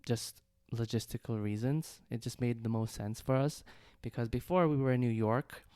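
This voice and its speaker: Easygoing man with a smooth voice. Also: mid-range voice, steady tone, darkish tone, dry recording, clean studio sound. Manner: easygoing, laughs often, measured answers. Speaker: podcast co-host. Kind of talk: casual chat.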